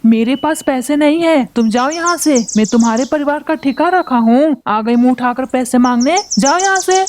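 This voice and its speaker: A woman's voice: high voice, normal speed, a little mellow, slight background noise, natural voice. A woman voicing a scheming male crow. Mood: scornful. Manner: gruff, dismissive, plotting. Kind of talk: Hindi cartoon voice acting.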